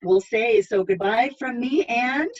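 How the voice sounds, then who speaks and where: Female children's librarian host, husky voice, polite and explanatory, dull video-call sound. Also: fairly high pitch, unhurried. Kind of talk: reading aloud and guiding viewers through an online library program.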